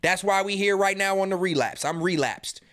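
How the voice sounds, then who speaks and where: Male podcast host in his thirties, high voice, heated and taunting reaction commentary. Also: a little fast, fairly bright tone. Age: 30s. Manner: profane, mocking, confrontational.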